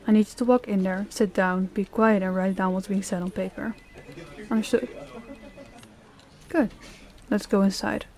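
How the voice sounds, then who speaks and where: A woman's voice: mid-range voice, steady tone, moderate pace, long pauses, darkish tone, close to an everyday voice. Woman acting as a commanding female crime boss. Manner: commanding, businesslike. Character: stern female crime boss running her department heads. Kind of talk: scripted audio roleplay acting.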